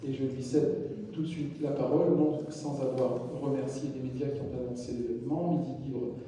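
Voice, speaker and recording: A man introduces a guest lecturer in a large hall, reading out a list of titles with small restarts. His voice is dark and mellow. The room rings with long reverb, and he is caught from a distance. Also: mid-range voice, steady tone, moderate pace, slight background noise. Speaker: host introducing a guest speaker. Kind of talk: formal introduction at a public lecture. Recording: echoey hall, mic picked up from a distance.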